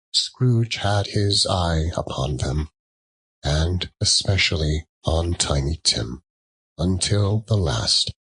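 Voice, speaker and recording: A man narrating a classic tale as a dramatic audiobook, in a theatrical, measured way. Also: fairly low pitch, fairly bright tone, slightly rough, low-quality recording.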